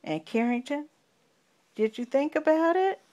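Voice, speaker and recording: A middle-aged woman, a grandmother improvising a children's story with long pauses and coaxing questions. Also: sits high, lively intonation, a little mellow. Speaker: grandmother telling a bedtime story.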